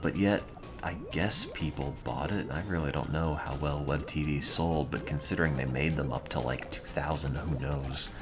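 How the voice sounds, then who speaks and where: A man's voice: a bass voice, moderate pace. A young adult man streaming and answering his chat in a dry, rambling, offhand way. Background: music playing.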